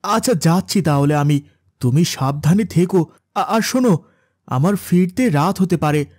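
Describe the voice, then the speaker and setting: A man's voice: fairly high pitch, expressive, moderate pace, darkish tone, dry recording, clean studio sound. A man playing an honest village van driver and worried father, polite and folksy, in a horror cartoon. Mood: weary, worried. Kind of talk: Bengali horror cartoon dialogue.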